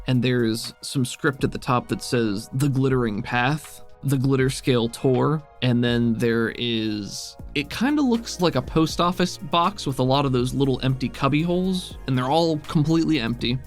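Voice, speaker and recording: Male game master, gravelly fry in a mid-range voice, casual descriptive narration over faint music. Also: mid-range voice.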